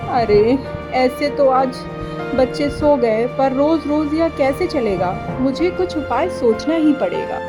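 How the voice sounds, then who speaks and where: Woman voicing an earnest high-pitched little mother bird. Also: animated, slow pace, music in the background. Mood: worried. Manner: pleading, emphatic. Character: small caring mother bird, earnest and plaintive.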